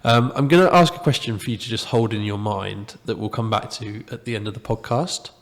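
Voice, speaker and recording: A man hosting a podcast, asking questions in a friendly, halting way. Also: lowish voice, gravelly. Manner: friendly, halting, repeats words. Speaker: podcast host. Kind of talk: interview questions. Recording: echoey room with long reverb.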